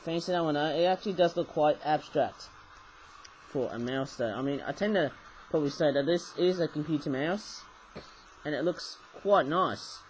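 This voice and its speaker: Croaky, rambling man reviewing toys. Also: on the high side, normal speed, slight background noise, rough recording. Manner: rambling, self-correcting, chatty asides. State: croaky from just waking. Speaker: toy reviewer. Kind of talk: casual unboxing review. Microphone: webcam.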